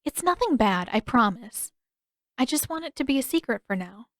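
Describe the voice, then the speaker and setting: Woman as an earnest, guarded teenage girl, raised pitch. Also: very animated, moderate pace, no room sound, studio quality. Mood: pleading, reassuring. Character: guarded, caring teenage girl, gently insistent. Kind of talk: audiobook character voice.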